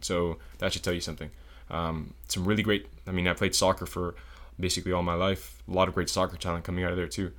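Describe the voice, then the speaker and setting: A man's voice: low-pitched, flat delivery, fast talker, few pauses, fairly bright tone. A young man from South Florida, a student guest on a casual podcast, speaking thoughtfully with many hesitations and ums. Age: young adult.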